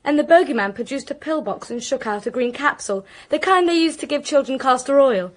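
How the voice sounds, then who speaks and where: High-pitched, anxious young British woman. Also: animated, a little fast. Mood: tense recounting. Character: anxious, articulate young niece recounting a crime. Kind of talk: British radio drama acting.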